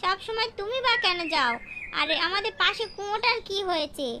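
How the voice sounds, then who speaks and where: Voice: very high-pitched, animated, moderate pace. A performer voicing a curious, stubborn young village boy in a cartoon, asking insistent questions over a noisy recording. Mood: questioning. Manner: inquisitive, insistent. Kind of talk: cartoon horror story dialogue.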